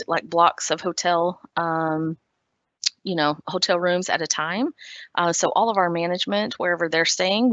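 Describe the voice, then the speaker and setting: A woman's voice: low voice, a bit bright, no room sound. A woman with a Texan accent, speaking as a recruiter at an online career fair, friendly and hesitant with many fillers. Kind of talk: virtual career fair presentation. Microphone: video call. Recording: dull dry video call audio.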